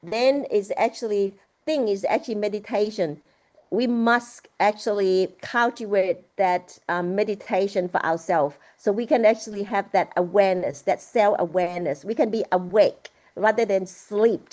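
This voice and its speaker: A woman from Laos giving a casual spoken Buddhist teaching over a video call, halting, with many restarts and fillers. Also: medium pitch, darkish tone, no room sound. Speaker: Buddhist speaker.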